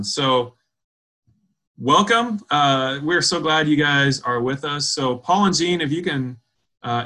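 Male host, bright and friendly, casual pauses and restarts. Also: medium pitch. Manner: friendly, halting with fillers. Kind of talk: video call interview.

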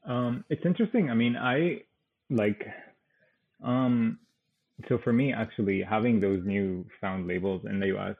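Gravelly, husky man on a phone-like line. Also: on the low side, unhurried. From Damascus, Syria. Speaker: podcast guest, comedian, artist and writer. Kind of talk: casual interview conversation. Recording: tinny, band-limited phone-like sound.

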